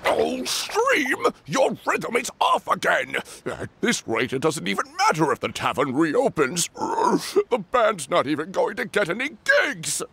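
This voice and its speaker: Game frog bandleader: highish, creaky, hugely animated, bossy and melodramatic. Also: male voice, unhurried, hardly any pauses, exaggerated voice. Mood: exasperated. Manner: theatrical, exclamatory, dramatic swings. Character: excitable, demanding male frog bandleader. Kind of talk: video game voice acting.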